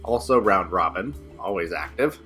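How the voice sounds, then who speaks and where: A young man with a low, bright and forceful voice talks rapidly through his build, narrating each step as he plays. Faint music sits quietly under his casual commentary. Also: lively intonation. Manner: rapid thinking aloud while building. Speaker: co-host playing a modded game.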